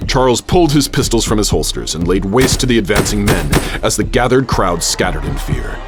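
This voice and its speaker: An actor playing an adventurous, wary gentleman professor, telling his exploits in measured, literary radio theater narration. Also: on the low side, big pitch swings. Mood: dramatic. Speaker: narrator and lead character. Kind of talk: radio theater, dramatic first-person narration. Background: music.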